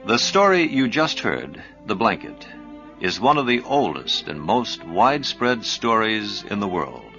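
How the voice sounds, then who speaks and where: A man presenting scripted educational radio narration in a measured, explanatory manner. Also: fairly low pitch, very animated, sharp tone, lo-fi sound, soft background music. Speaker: programme presenter.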